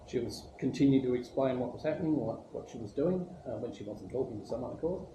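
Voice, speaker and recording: A man's voice: medium pitch, lively intonation, quick pace. Casual man, echoey noisy room. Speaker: visiting pilot. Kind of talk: casual recounting.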